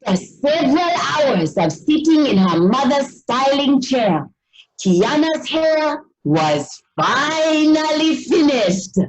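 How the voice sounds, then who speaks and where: A woman reading a children's story aloud with expressive, dramatic, drawn-out delivery. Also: high-pitched, breathy edge, low-quality recording. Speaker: storybook reader.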